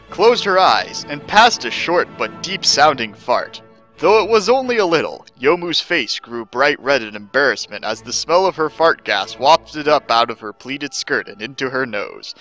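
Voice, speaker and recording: A man's voice: fairly high pitch, very animated, moderate pace, bright, forceful tone, muffled recording, soft background music. A man reading a scripted story as narrator, in a mock-serious, theatrical dramatic style.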